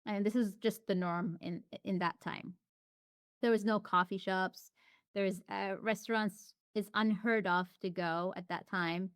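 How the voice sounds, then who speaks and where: A woman's voice: medium pitch, fairly even intonation, unhurried, clean tone, no echo, studio quality. A woman as an interview guest, talking casually and reflectively with frequent restarts and fillers. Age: adult.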